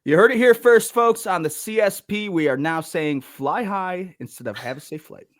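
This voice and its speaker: A young man talking as a podcast guest in a relaxed, profane way. His voice swings widely in pitch and sits slightly high for a man. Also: moderate pace. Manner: animated, laid-back, swears casually. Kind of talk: casual chat.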